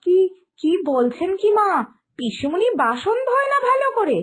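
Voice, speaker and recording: A woman's voice: extremely high pitch, big pitch swings, moderate pace, a bit bright, full character voice. A woman voicing a polite, naive young daughter-in-law in a cartoon drama, earnest in manner, with a West Bengal accent. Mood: alarmed.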